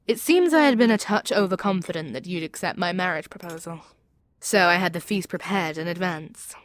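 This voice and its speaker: A woman plays a demon queen with a somewhat low voice, very dry and free of room sound. She is proud and seductive, addressing her captive with theatrical command and persuasion. The delivery suits an intimate ASMR audio drama. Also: fairly quick, no room sound, close to an everyday voice. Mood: wistful, curious. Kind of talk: ASMR audio roleplay acting.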